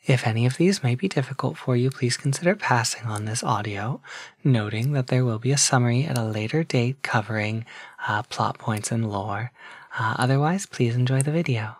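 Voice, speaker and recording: Creaky-voiced creator reading a notice. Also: mid-range voice, moderate pace, no room sound. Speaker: audio roleplay creator. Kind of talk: spoken content warning before a video.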